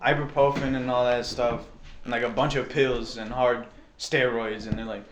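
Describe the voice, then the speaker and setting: A young man telling stories in casual chat, animated and slangy, laughing as he talks. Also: mid-range voice, normal speed. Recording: echoey space, faint noise.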